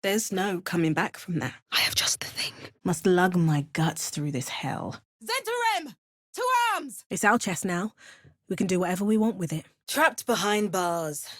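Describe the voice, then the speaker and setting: A woman's voice: on the low side, big pitch swings, moderate pace, no room sound. An actress playing a wry, battle-hardened young female adventurer in a fantasy video game, delivering dry asides. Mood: urgent, strained, then matter-of-fact. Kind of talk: video game character voice lines.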